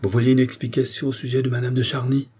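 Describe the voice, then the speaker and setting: Man voicing an anguished, imperious queen, husky and heightened. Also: medium pitch, moderate pace, dark tone, voice pushed up, close to an everyday voice. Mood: imperious anger. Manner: breathless, imperious, emphatic. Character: proud, jealous, anguished queen, imperious and feverish. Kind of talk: dramatic audiobook character acting.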